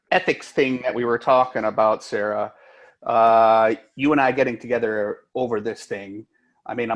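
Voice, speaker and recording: An American man, an agile coach, co-presenting in a relaxed, chatty way on a video call, with many "uh" fillers. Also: on the low side. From United States.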